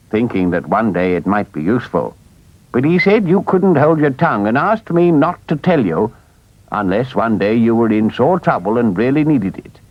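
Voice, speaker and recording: Man doing a stern, husky old badger. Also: mid-range voice, no room sound, lo-fi sound. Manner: severe, deliberate.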